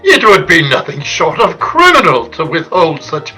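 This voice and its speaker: Pompous scientist male actor, pedantic and deliberate, highish sharp tone, old radio comedy. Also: wildly swooping pitch, faint music behind, heavily acted. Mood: pedantic, marveling. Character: pompous, genteel male scientist, fussy caterpillar expert.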